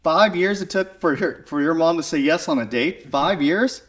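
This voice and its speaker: Higher-pitched American male podcast host. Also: moderate pace. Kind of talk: casual interview hosting. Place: small garage video studio, on location.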